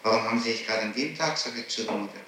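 Male prosecutor, bright forceful tone. Formal, challenging questioning; very reverberant old tape, faint hiss. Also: on the low side, rapid-fire. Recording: very echoey archival interrogation tape, faint hiss.

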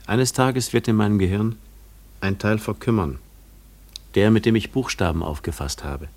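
Radio drama: guarded recluse, low-pitched voice, measured and contemplative, gives little away. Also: male voice, darkish tone. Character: withdrawn, guarded male recluse shunning the world. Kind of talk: German literary radio play acting.

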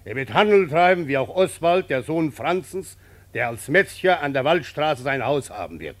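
Old farming patriarch, solemn, plain-spoken, halting weighty phrases, lively swooping pitch, radio drama. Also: male voice. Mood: solemn, decreeing. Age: older. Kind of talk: radio play acting.

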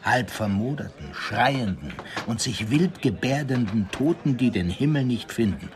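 An actor playing a learned, grave police commandant who tells tales in a theatrical, savoring way in a radio crime drama. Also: male voice, mid-range voice, lively intonation, measured pace, background noise, lightly acted. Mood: ominous. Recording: music bed under studio radio drama.

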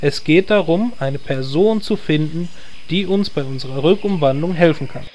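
A man's voice: fairly high pitch, moderate pace, darkish tone, close to an everyday voice. Man as a dry, exasperated druid hero. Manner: dry, hesitant, sarcastic. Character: vain, exasperated male druid hero, dryly sarcastic. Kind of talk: comedic audio drama acting.

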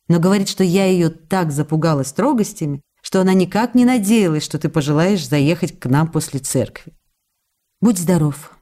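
An actress playing a young newlywed woman in a radio play, reading out her letters with affectionate, animated longing for her husband. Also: sits low. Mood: tender, longing. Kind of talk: dramatic reading of intimate letters.

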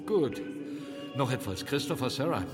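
A man playing a solemn, resolute captive in a radio drama, speaking gravely and tersely. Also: mid-range voice, lively intonation, moderate pace, close to an everyday voice. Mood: pleading, firm. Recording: reverberant hall, music behind.

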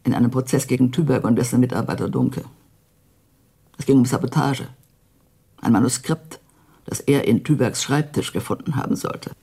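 An actress playing a grave, embittered elderly widow in a radio drama, with a husky, gravelly voice. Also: female voice, rumbling low, normal speed, long pauses, dark tone, full character voice. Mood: grave, sorrowful. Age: older. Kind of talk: naturalistic radio drama acting.

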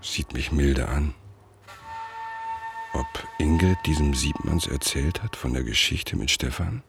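A man acting as a brooding, suspicious middle-aged writer, narrating a radio play in the first person with a gravelly, measured, introspective delivery. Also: extremely low pitch, exaggerated voice. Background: music.

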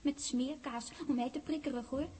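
A woman's voice: high voice, steady tone, moderate pace, noisy background, lightly acted. A woman playing a ten-year-old ghost girl in a 1960s radio drama, childlike, teasing and coaxing.

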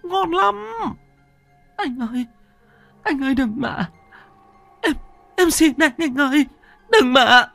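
Terrified young wife, high falsetto, stammering, trembling, dry sound. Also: male voice, sing-song, takes it slowly, creaky, pauses often, a bit bright, dry recording, soft background music, full character voice. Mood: pleading.

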